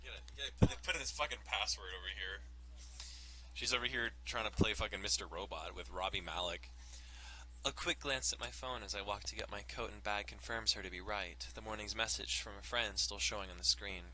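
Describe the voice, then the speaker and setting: Bright, forceful low-voiced man gaming. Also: brisk, a little hiss or hum, poor audio. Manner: joking, frequently swearing. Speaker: let's play host. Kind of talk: casual gaming commentary with read-aloud narration.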